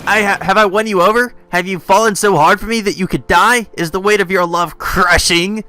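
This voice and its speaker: Cartoon villain bear played by a man: preening, taunting, cheerfully cruel, bright forceful delivery, music underneath. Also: high-pitched, fairly even intonation, a little fast, dry recording, voice pushed up. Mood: boastful, indignant. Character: gleefully sadistic cartoon mascot bear, mockingly cheerful. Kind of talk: video game character voice-over.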